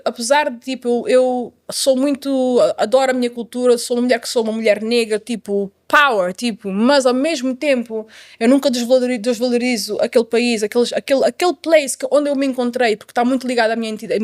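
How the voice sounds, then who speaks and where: A woman's voice: a little high, darkish tone. A middle-aged woman with a Portuguese accent from Portugal, telling her life story conversationally as a guest, with emphatic repetition and the odd English word slipped in.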